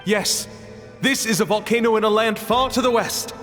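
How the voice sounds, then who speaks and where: A man's voice: high voice, moderate pace, a bit bright, soft background music. An actor playing an entranced, obedient male servant in a video game, speaking formally and reverently to his master, his voice in reverb. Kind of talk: video game character acting. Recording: voice in a long reverb.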